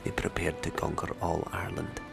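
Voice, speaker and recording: A man narrating passages from an old chronicle in a flat, measured reading. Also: low voice, music in the background. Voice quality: gravelly.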